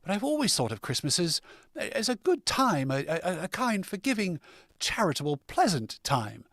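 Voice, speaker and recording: A man plays a good-hearted young gentleman in an old-time radio play. His voice is high and very animated, with a theatrical, genial earnestness. The sound is close and dry. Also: moderate pace, no room sound, full character voice. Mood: earnest. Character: cheerful, kindhearted gentleman, the miser's young nephew. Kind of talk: vintage radio drama acting.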